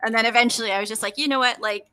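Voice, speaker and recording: Bright-voiced woman chatting informally. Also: a little high, fairly even intonation, rapid-fire, smooth, dry recording. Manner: informal, heavy use of "like". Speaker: interview guest, first-time voice actor. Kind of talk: casual chat while playing a video game.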